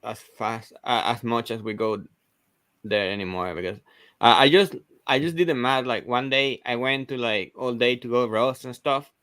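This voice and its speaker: A man chats casually on a livestream video call. He talks haltingly, stacking fillers and restarting sentences. His voice sits at an average pitch and sounds close and dry. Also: fairly bright tone, clear, resonant tone, no echo. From Venezuela. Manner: hesitant, many restarts and "like" fillers. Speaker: host of a reselling livestream.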